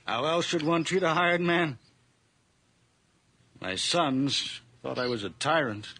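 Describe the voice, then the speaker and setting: A kindly old farmer, higher-pitched. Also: male voice, expressive, slow pace, sharp tone, heavily acted. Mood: warm, modest. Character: kindly, proud old farmer, gently puzzled employer. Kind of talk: radio drama acting. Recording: clearly noisy background.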